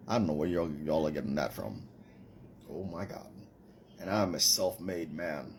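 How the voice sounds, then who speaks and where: A man in his forties with a Trinidadian accent rants casually, boasting and scolding his critics. His voice sits very low. A faint hiss runs behind him. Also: moderate pace. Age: 40s. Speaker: commenter in a stitched video. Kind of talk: casual rant to camera.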